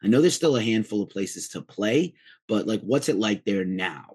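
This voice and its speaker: A man's voice: fairly low pitch, lively intonation, moderate pace, darkish tone, slightly rough. A man hosting a music interview, chatty and enthusiastic, who wanders into tangents. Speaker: podcast host, interviewer. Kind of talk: casual interview chat.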